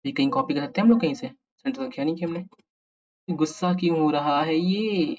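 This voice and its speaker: A man's voice: a little high, fairly quick, darkish tone. A man with an Indian accent hosting a casual programming tutorial, thinking aloud and muttering questions to himself as he works. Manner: mutters to himself, asks himself questions while working. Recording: dull, muffled lo-fi recording.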